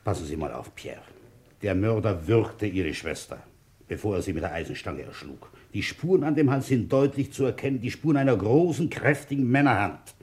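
An actor playing a methodical, weary police inspector in a German radio crime drama, with a husky voice. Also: male voice, lowish voice, expressive, normal speed, a little mellow. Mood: probing, stern. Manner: brooding in narration, firm and probing in questioning.

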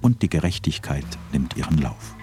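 A very deep, booming male voice narrates a crime radio play, sliding up and down with dry, wry asides. Music plays softly behind him. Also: big pitch swings, moderate pace.

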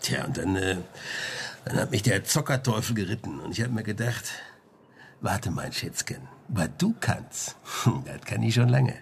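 A man's voice: on the low side, big pitch swings, normal speed, gravelly, a little hiss or hum. An actor playing a chatty, sly male junk-shop dealer under questioning in a radio crime drama, rambling colloquially with frequent tag questions. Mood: chatty, animated.

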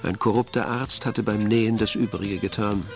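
A male narrator tells a crime story in a scripted radio-play style. His voice is lowish and distinctly creaky, with a wry edge, and music plays behind it. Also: steady tone, moderate pace.

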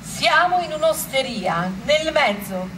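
A woman's voice: high-pitched, big pitch swings, slow, noisy background, exaggerated voice. An actress playing a fiery woman patriot in an 1849 tavern, in a theatrical stage style. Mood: declaiming. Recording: echoey, distant, with music behind.

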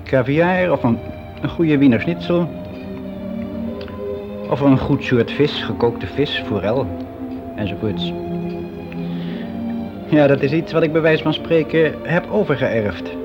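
A man's voice: medium pitch, expressive, takes it slowly, long pauses, over music. Frank middle-aged man, dull recording. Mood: modestly particular. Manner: hesitant, matter-of-fact, self-justifying, as if answering an interviewer. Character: frank, fussy middle-aged man confiding about his private life. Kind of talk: conversational radio play monologue.

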